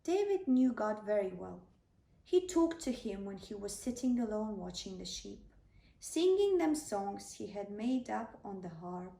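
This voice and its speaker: A woman's voice: medium pitch, sing-song, unhurried, gravelly, warm tone. A woman narrating a scripted children's bedtime story as a storyteller. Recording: echoey room, slightly distant microphone.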